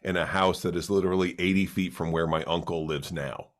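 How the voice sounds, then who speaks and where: A man's voice: low voice, fairly even intonation, a little fast. A man who is a stand-up comic, chatting casually as a podcast guest and telling rambling, joking stories with lots of restarts. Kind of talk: casual chat. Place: recorded for a podcast.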